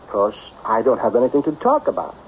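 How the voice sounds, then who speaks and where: Old radio drama: self-made tycoon, brooding, blunt, self-pitying, very animated pitch, dull broadcast sound. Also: male voice, fast talker, slight background noise. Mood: bitter, dejected. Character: lonely self-made millionaire businessman, melancholy, brusque, self-pitying.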